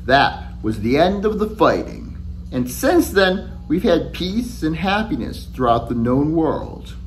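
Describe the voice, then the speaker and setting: A man in his forties reads a storybook aloud in a chatty, first-person storytelling manner. His pitch is mid-range and swings freely as he goes. The recording is made indoors with a faint noisy hum behind him. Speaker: author reading own book aloud. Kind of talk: expressive storybook reading. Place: small indoor room at home with shelves.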